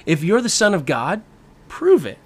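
Taunting tempter spirit, voiced by a male preacher: snarky, critical, goading, raised pitch, sermon storytelling. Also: wildly swooping pitch, normal speed, husky, heavily acted.

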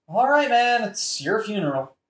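Performer acting laid-back skeptical sidekick, casual, doubtful, comic audioplay, mid pitch, roomy echo. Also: very animated, moderate pace, fairly bright tone.

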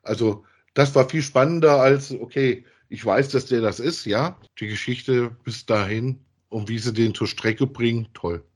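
A man, chatting casually as a podcast co-host, thinking aloud haltingly and repeating himself. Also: mid-range voice, a little mellow. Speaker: podcast co-host reviewing audio dramas. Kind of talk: casual chat, reviewing audio plays.

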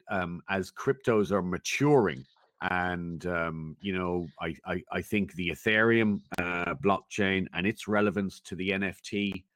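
Guy with a low deep voice, thoughtful interview answers with pauses, really dry sound. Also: dry recording. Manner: thoughtful, measured, halting with pauses. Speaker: interview guest, technology commentator. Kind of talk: conversational interview, giving considered opinions.

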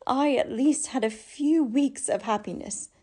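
A woman playing a gentle, heartbroken young sister in a radio drama, speaking in a tender, earnest, theatrical way. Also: high voice, unhurried. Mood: tender concern.

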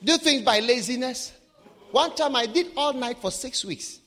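A man from Africa preaching a sermon, swinging between shouted lines and low, quiet asides. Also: expressive, a little slow. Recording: live sermon with long hall reverb.

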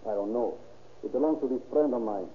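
An actor playing a young Mexican petty crook, nervous and evasive, making hurried excuses in a 1930s radio drama. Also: male voice, medium pitch, lightly acted. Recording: tinny, noisy old radio recording.

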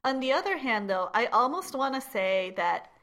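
A woman's voice: on the high side, big pitch swings, moderate pace, a bit bright. A woman co-hosting a casual chat podcast, chatty and laughing often, with lots of "like" fillers. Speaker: podcast co-host.

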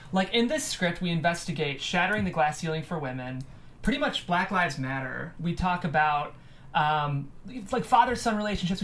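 Low-voiced woman hosting a table read. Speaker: host and stage-direction narrator. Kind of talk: script narration and interview.

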